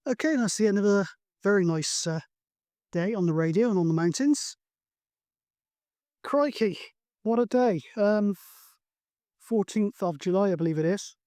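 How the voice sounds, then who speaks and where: A man's voice: sits high, lively intonation, normal speed, pauses often, darkish tone, dry recording, clean studio sound. A man speaking casually outdoors on a mountain as a CB radio hobbyist, in a hesitant, matey way with radio jargon. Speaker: CB radio hobbyist, video host.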